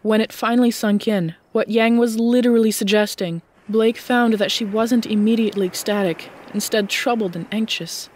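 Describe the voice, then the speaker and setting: A woman's voice: a little high, fairly even intonation, moderate pace, few pauses, a little mellow. A woman reading scripted story narration as the narrator of an audiobook.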